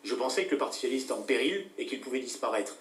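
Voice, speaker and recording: A middle-aged man, a political guest on a talk show, speaking deliberately with many hesitations and fillers. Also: mid-range voice, animated, reverberant, far from the mic, slight background noise.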